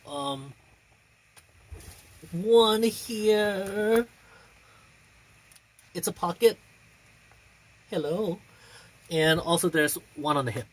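Male drag performer, slightly high husky voice, deliberate and theatrical, phone-recorded indoors. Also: poor audio. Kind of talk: casual vlog chat and expressive poetry reading. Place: small room indoors. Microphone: phone on a stand a short distance away.

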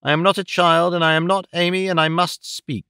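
A haughty, wilful young lady , as a male narrator puts her on, high and smooth in voice. She is defiant and pointed, speaking with lofty formality in a Victorian storybook. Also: flat delivery, fairly quick, sharp tone, dry recording, clean studio sound. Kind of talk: audiobook character acting of a Victorian novel.

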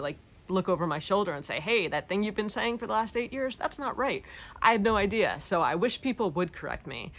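A woman's voice: on the low side, fairly bright tone. A woman in her thirties with an American accent, chatting informally and self-deprecatingly as she answers questions in a vlog. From United States. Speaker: vlogger. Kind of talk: casual chat answering viewer questions. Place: outdoors in a leafy garden. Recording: clear outdoor background noise, garden ambience.

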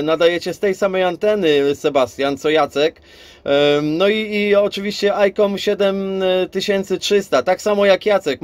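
A man with a fairly high voice talks as a ham radio operator in a casual, rambling way. He repeats himself, and the sound is close and dry. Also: no echo. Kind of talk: casual radio contact chat.

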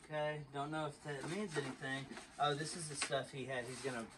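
A man's voice: medium pitch, steady tone, a little fast, a loud crowd. A man, chatting casually to viewers while sorting through a storage unit. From Texas. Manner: chatty, talks to viewers as y'all. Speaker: storage unit reseller vlogger. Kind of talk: casual vlog narration. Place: outdoor storage unit, then inside a truck. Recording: faint background noise.

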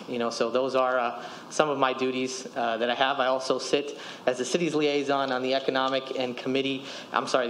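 A man's voice: mid-range voice, slight background noise, poor audio. A man in his thirties, a city department official, giving a businesslike report at a podium microphone in a council chamber. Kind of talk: public presentation at council meeting.

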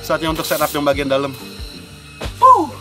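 An upbeat man in his thirties chats to his viewers while setting up camp on an open field. His pitch bounces around animatedly, and loud music plays underneath the whole recording. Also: wildly swooping pitch, normal speed. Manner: upbeat, addresses viewers as guys. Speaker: camping vlogger host. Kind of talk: casual vlog chat. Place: outdoors on a lakeside field. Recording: background music.

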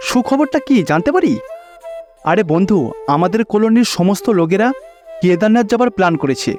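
A hearty village pal acted by a man in a cartoon tale, high in pitch. He is chatty, friendly and cheerful, with light music behind. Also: a little mellow.

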